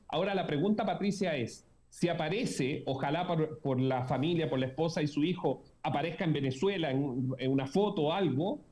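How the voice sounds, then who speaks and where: A man's voice: a little high, a little mellow. A Chilean man with a Chilean Spanish accent, a journalist speaking as a guest in a political interview, earnest and emphatic, repeating key words.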